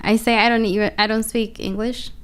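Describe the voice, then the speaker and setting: A young woman from Colombia talks in an easy, chatty way, her English carrying the faintest Colombian Spanish accent. She laughs often and fills her talk with likes and restarts, and her voice sounds smooth and clear. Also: on the high side, little pitch movement, brisk. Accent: light Colombian Spanish. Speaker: guest. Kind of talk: casual conversation.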